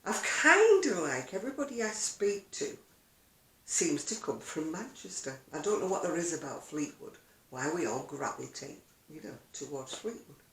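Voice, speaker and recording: A woman's voice: low-pitched, big pitch swings, moderate pace. An older woman chatting casually to camera as a video blogger, rambling along with self-deprecating asides in an echoey room. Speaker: video blogger and craft seller.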